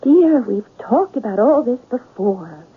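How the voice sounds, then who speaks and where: A woman's voice: a little high, expressive, a little slow, slight background noise. An actress playing a tender, hopeful young woman in a 1970s radio drama, romantic and theatrical in manner. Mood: gently insistent. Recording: muffled, narrow-band vintage radio broadcast.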